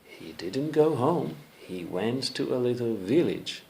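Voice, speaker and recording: Slow, gravelly male storyteller. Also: mid-range voice, big pitch swings, warm tone, slight background noise. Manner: slow, deliberate, checks in with listeners. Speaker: English teacher. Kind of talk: storytelling in simple English for learners.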